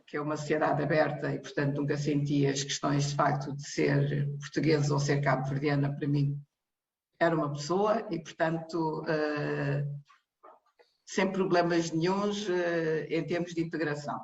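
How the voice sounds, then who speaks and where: A woman from Cape Verde, the technical director of a social care charity, giving a personal testimony in a hesitant way, full of pauses and restarts. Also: low voice, steady tone, gravelly, darkish tone. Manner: hesitant, many fillers and restarts. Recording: echoey, muffled room sound.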